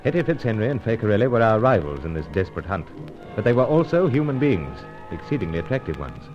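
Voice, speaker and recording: A dull-sounding old radio recording with music behind a secret agent. He is suave and brisk, with a lowish voice that swings expressively. His phrasing is clipped, probing and wry, as in an adventure serial. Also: sing-song. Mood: grave narration.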